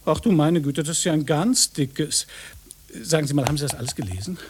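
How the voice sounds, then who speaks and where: A man's voice: fairly high pitch, brisk, slight background noise, close to an everyday voice. An actor playing a vain, pushy fake policeman in a German radio play, pompous, lecherous and pedantic. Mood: pedantic, amused.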